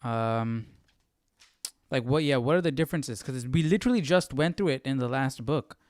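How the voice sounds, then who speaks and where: Clear-voiced casual man, dry sound. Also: mid-range voice, moderate pace, darkish tone, no room sound. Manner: informal, conversational. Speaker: livestream Bible study host. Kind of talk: casual livestream chat.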